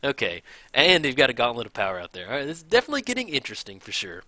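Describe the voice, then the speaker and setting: A man casually commenting on a card game match, thinking aloud, his voice a little raspy from hours of recording. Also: mid-range voice, wildly swooping pitch, racing, dry recording. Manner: chatty, thinks aloud, counts out loud. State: slightly raspy from long recording. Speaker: gameplay commentator.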